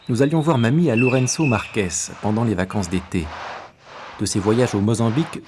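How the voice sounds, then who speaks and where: A man from South Africa giving measured, reflective scripted narration as a documentary narrator. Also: mid-range voice. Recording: voice-over with clear background noise.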